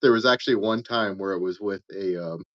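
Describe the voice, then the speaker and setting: A man's voice: on the low side, a little fast, a bit bright, no echo, dull audio. A man guest telling stories in casual chat, hesitant and self-deprecating. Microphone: off camera, remote call.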